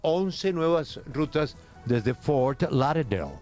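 A man hosting a morning talk show, bantering quickly and teasing his co-hosts. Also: on the high side, sing-song, darkish tone, soft background music. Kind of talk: brisk, joking studio banter.

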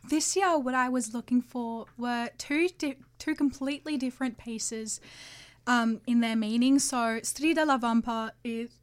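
Nervous young woman, slightly high voice. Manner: nervous, giggly, halting. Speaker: student singer. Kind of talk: interview answers.

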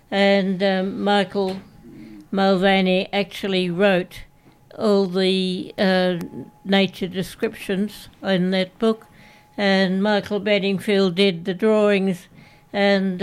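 A woman's voice: medium pitch, smooth. An Australian woman from Canberra, co-hosting a community radio show and talking unhurriedly about a book in the studio. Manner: unhurried, reads aloud from a book. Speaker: radio co-host. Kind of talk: casual radio chat.

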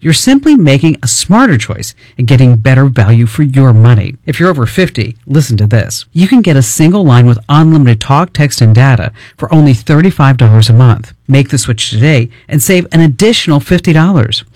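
A man hosting a call-in radio talk show, chatty and upbeat, asking quick follow-up questions. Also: medium pitch, expressive, darkish tone, no echo, studio quality. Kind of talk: call-in tech radio chat and ad read.

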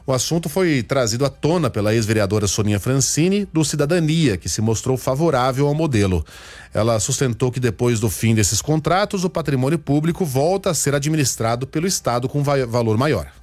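A man's voice: mid-range voice, lively intonation, brisk, a little mellow. A man from Minas Gerais giving spoken political commentary in a conversational way, repeating words and often checking in with "né?". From Minas Gerais, Brazil. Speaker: news commentator.

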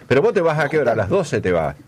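A man's voice: medium pitch, very animated, fast talker, a little mellow, husky. A man with an Argentine accent hosting a radio show in casual chat, rambling with lots of fillers and repeated words. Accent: Argentine Spanish. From Buenos Aires, Argentina. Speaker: radio host.

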